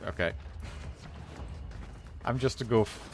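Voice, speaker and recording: A man's voice: medium pitch, slow pace. A man giving casual, playful gameplay commentary, half talking to himself. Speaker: game streamer. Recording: game music and gunfire behind. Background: video game music, gunfire.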